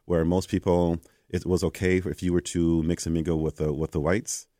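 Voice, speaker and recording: A Canadian man, a guest in an interview, telling stories in a conversational way and acting out quoted exchanges with lively energy. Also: low voice, moderate pace, dry recording, clean studio sound. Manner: animated, acts out quoted exchanges.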